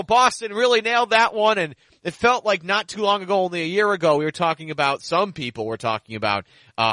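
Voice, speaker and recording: Bright, punchy male podcast host. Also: a little high, dry recording. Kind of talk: animated basketball talk and interview.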